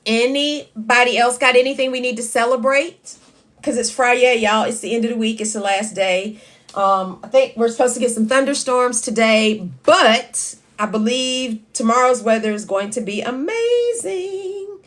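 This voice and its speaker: A woman hosts a live chat in a loud, carrying voice that sits a touch high. She talks to her viewers casually and reads their comments aloud as they come in. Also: normal speed. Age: 50s. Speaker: livestream host.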